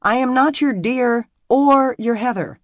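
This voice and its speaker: A narrator voicing a flustered, sharp-tongued young woman doctor who bursts out in rapid, exasperated lines in an audiobook. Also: mid-range voice, expressive, no room sound. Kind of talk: audiobook character voice.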